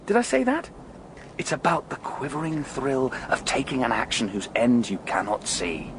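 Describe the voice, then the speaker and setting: A man's voice: mid-range voice, huge pitch swings, normal speed. A man acting in a radio drama, playing a restless, thrill-seeking gambler who justifies himself intensely and intimately. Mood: fervent, musing. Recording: clear background vehicle noise.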